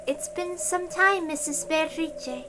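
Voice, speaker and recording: A woman's voice: very high voice, takes it slowly, background noise, pitched above the performer's own voice. A woman voicing a formal, polite young female heavenly visitor with a stiff, solemn, ceremonious way of speaking.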